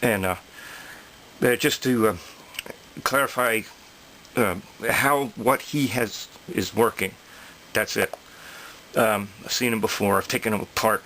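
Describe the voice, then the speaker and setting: A man giving an informal, unscripted technical explanation, haltingly thinking aloud and repeating points for emphasis. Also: lowish voice, sharp tone, a little hiss or hum, rough recording. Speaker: hobbyist presenter explaining electronics.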